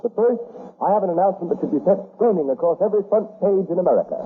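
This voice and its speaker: A male 1930s radio announcer with a high, very animated voice. He declaims his script emphatically on a tinny old broadcast. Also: slight background noise.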